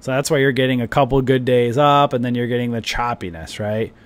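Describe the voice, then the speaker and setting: A man in the middle pitch range talks loosely over his charts, leaning on "you know" and "right" as he goes. His voice sounds a bit worn today, with the odd cough and throat-clear between thoughts. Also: brisk. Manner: rambling, chatty, many fillers like "you know" and "right". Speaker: stock trading streamer. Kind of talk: casual market commentary to viewers.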